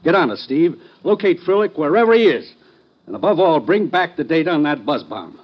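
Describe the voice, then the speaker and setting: An American actor playing a brisk, authoritative commissioner in a 1950s radio drama, with a husky voice. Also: male voice, a little high, big pitch swings. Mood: urgent. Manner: brisk, commanding. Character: urgent, authoritative commissioner giving secret assignments, adult man. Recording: dull, muffled vintage radio recording.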